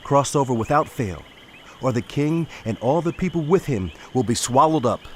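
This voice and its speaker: An actor playing a shrewd, persuasive male royal adviser in a dramatized audio Bible, speaking with deliberate rhetoric. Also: mid-range voice, expressive, slight background noise, lightly acted. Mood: hushed, urgent.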